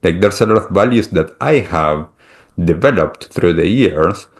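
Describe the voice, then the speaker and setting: A man's voice: low-pitched, animated, unhurried. A middle-aged man with an Argentinian accent, a native Spanish speaker, talking reflectively and at length as a podcast host. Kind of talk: reflective conversational interview talk.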